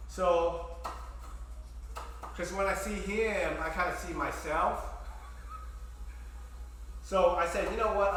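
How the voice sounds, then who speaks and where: A man's voice: fairly high pitch, bright, forceful tone. Chatty man in an echoey kitchen. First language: Vietnamese. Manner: chatty, joking asides to chat. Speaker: streamer. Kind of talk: casual live-stream chat while cooking. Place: home kitchen, indoors. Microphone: fixed camera at a distance. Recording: echoey kitchen, mic at a distance.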